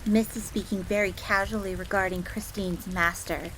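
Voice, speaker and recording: A woman's voice: mid-range voice, moderate pace, lightly acted, rough recording. A woman playing a loyal, easily flustered tsundere fox maid in an audio roleplay, speaking formally and stiffly and referring to herself in the third person. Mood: prim, haughty. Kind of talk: scripted audio roleplay acting. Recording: steady rain noise in background. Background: rain ambience.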